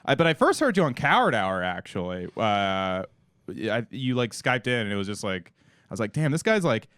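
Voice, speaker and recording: A man co-hosting a comedy podcast in a studio, joking and rambling and quick to laugh. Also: on the low side, very animated, fairly bright tone, clean tone, dry recording. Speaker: podcast co-host. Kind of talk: casual podcast chat.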